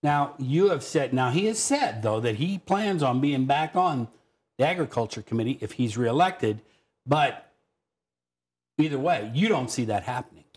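A man's voice: medium pitch, normal speed. A middle-aged man hosting a local television interview show in a studio, talking in a folksy, chatty way. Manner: folksy, chatty, lively intonation. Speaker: TV talk show host. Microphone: lapel mic.

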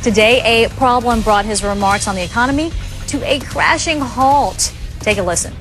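An American woman speaks as a news anchor in a fairly high voice. She mixes presenting with light studio banter, and music plays clearly behind her. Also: moderate pace, fairly bright tone. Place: television newsroom studio.

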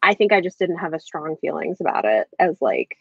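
A woman's voice: deep voice, sing-song, brisk, vocal fry, husky, no room sound. A woman in her thirties in a casual book discussion on a video call, thinking aloud in a hesitant, rambling way. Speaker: book club livestream host. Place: indoor room at home, on a video call. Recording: slightly dull video-call audio.

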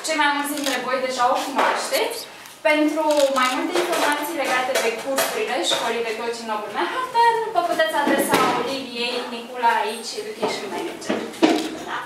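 A young woman with a high voice opens an event for a small audience in a friendly, informal way. She is heard from a distance in an echoey room with steady noise behind her. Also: quick pace. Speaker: event organizer. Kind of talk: event introduction. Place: small cafe room, indoors, live audience. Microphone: far camera. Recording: echoey room from far off, noisy.

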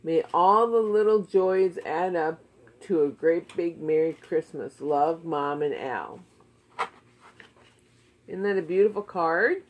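A woman's voice: fairly low pitch, slow pace. A woman chatting casually and encouragingly in short, simple questions, as if to a child in a home puppet show. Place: living room beside a Christmas tree at home. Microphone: phone camera a short way off.

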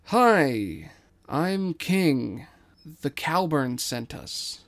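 A man's voice: a little high, very animated, drawn-out words, full character voice. Man as a casual, cocky scavenger captain. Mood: questioning, friendly. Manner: casual, confident.

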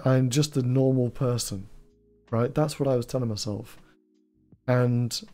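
A young man, hosting a trading advice video in a small room and talking in a flat, rambling way with fillers. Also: medium pitch. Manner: self-described monotone, rambling with fillers. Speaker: trading channel host. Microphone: studio mic on boom arm, headphones.

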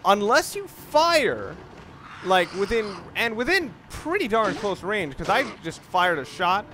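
A man with a slightly high voice talks through a video game in a casual let's play style. He explains tactics in a rambling, mildly profane way. There is faint noise with game ambience behind him. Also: sing-song, a little slow, sharp tone. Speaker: gamer, let's play host. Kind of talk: casual gameplay commentary with strategy explanation. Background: video game sounds.

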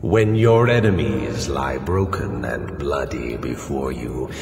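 A man voicing a cruel, gloating demon lord in a fantasy video game, gravelly, imperious and savouring every word. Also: background noise, full character voice. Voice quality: very deep, gravelly voice. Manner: slow, imperious, menacing, savouring words. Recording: echoey reverberant hall, game music behind.

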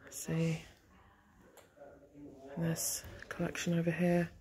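A young woman chatting casually while filming a family tour of an old manor house on her phone, wondering aloud with soft asides. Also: on the low side, completely flat delivery, takes it slowly. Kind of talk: casual chat while touring. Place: rooms of a large old manor house, indoors. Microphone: handheld phone camera.

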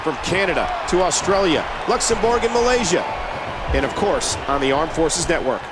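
A man doing a higher-voiced wrestling play-by-play caller. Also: expressive, normal speed, natural voice. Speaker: play-by-play commentator. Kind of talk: video game wrestling commentary. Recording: game audio over loud crowd noise. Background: roaring arena crowd.

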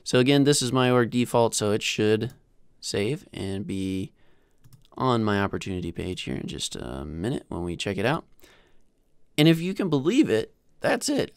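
A man in his thirties narrating a casual software tutorial in an informal, halting, self-deprecating way, close on a desktop microphone. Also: mid-range voice, clear, resonant tone, no echo. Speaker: host of a software tutorial channel. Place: small indoor room at home. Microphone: desktop microphone close to the mouth.

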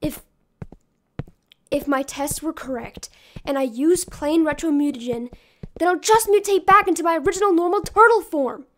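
A woman voicing a sick, stubborn, brainy teenage boy mutant turtle, weary and halting, in an amateur comic dub. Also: high voice, lightly acted. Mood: weary, sickly.

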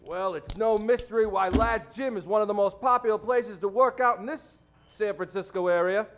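High-voiced eager young gym fellow acted by a man. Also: moderate pace, muffled recording, slight background noise, close to an everyday voice. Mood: hearty, eager. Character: eager young gym-goer bound for enlistment. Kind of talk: 1940s radio advert acting. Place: indoor theatre stage.

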